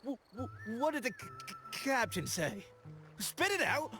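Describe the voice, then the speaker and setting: A woman's voice: sits high, big pitch swings, measured pace. A woman voicing a jittery, impatient little pirate creature in a video game, with a stuttering delivery. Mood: nervous impatience. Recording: game music behind the voice.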